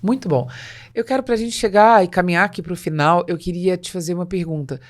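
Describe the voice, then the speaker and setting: A Brazilian woman hosts a business conversation in a voice pitched a little low. She explains by illustration, often posing rhetorical questions as she goes. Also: brisk. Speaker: podcast host.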